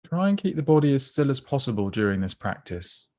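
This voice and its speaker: A man leading a guided body scan meditation in a gentle, unhurried, instructive way, leaving pauses between phrases. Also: medium pitch, very animated.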